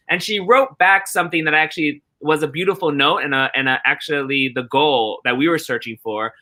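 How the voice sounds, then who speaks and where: A man giving reflective interview answers as a theatre director, earnest and deliberate, repeating phrases rhythmically for emphasis. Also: fairly high pitch, bright, forceful tone.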